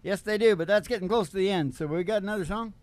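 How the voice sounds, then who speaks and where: Man, high voice, mellow tone, casual comic storyteller, irreverent, rambling. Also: quick pace. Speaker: singing DJ and co-host of a country comedy show.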